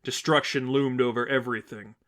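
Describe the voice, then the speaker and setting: Male narrator for dramatic fan fiction, medium pitch, scripted story delivery. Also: fairly even intonation, a little fast, fairly bright tone.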